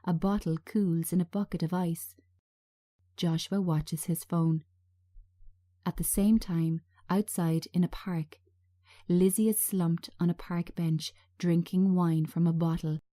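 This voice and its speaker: A woman narrating a screenplay in a measured, scripted reading style. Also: on the low side, level tone, mellow. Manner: measured, present-tense scene reading.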